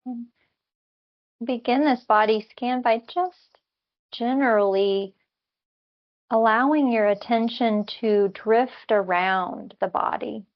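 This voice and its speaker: A woman leading a guided body scan meditation as a teacher, speaking in gentle, unhurried instructions with long pauses. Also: a little high, highs cut off.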